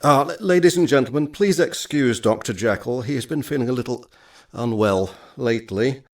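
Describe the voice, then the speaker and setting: A man playing a paternal, authoritative older Victorian gentleman with a creaky voice, in stage drama style. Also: mid-range voice, normal speed, dry recording, studio quality, natural voice. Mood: stern, concerned.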